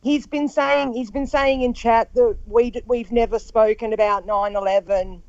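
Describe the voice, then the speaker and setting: A woman with a loud voice, chatting bluntly and sweary in an exasperated way on an online panel. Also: on the high side, steady tone, moderate pace, dry recording. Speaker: podcast panellist. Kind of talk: casual online panel chat. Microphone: online group call microphone. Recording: dull, lo-fi online call.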